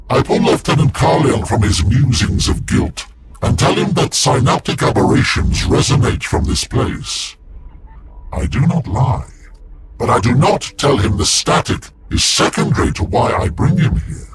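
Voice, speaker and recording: An actor playing an ancient warrior-psyker sealed in a war machine, speaking formally and solemnly as the game's narrator. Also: male voice, mid-range voice, heavy background noise. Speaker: librarian, narrator. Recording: with loud game music behind.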